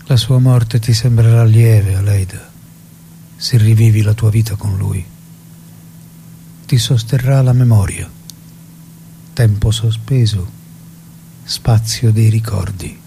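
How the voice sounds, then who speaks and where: An actor playing a solemn storyteller, giving scripted dramatic narration in a grave, measured way. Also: male voice, lowish voice, fairly even intonation, vocal fry, dark tone, slight background noise. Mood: tender, solemn. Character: solemn male storyteller recounting a revolutionary's death.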